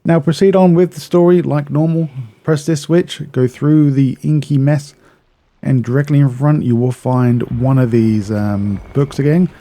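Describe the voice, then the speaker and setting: A man's voice: medium pitch, fairly even intonation, normal speed, mellow. A man narrating a video game walkthrough in a casual, instructional way, explaining step by step and often repeating or correcting himself.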